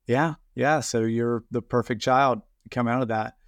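A man hosts an interview podcast and talks in a casual, conversational way. His pitch is mid-range and the recording is close and dry. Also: fairly even intonation, normal speed, no echo. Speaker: podcast host.

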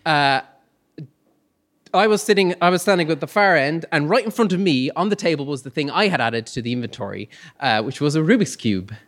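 Higher-voiced man telling a humorous personal story. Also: expressive, fairly quick, a bit bright. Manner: hesitant, self-deprecating humour, chuckles as he talks. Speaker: storyteller on stage. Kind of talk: live personal storytelling to an audience.

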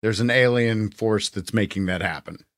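A man's voice: lowish voice, moderate pace, no room sound, studio quality. A man co-hosting a podcast, chatting casually and often chipping in with brief agreement. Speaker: podcast co-host. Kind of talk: casual chat.

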